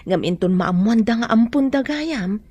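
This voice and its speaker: Husky, concerned woman, dry studio. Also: on the high side, expressive, a little slow, a little mellow, dry recording. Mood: cautious, earnest. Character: concerned, cautious woman, protective confidante of a sick friend. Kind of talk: Ilocano radio drama acting.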